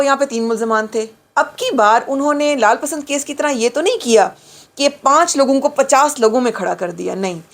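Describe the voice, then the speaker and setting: A middle-aged Pakistani woman giving an explanatory lecture, emphatically repeating and rephrasing key points and mixing in English phrases. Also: on the high side. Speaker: lawyer giving a law lecture.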